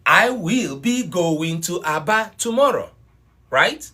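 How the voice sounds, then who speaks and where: A man's voice: sits high, animated, slow pace, pushed and bright. A middle-aged man teaching an online language class, explaining points patiently and repeating them. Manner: explanatory, repeats points, greets students by name. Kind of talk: live online class lecture.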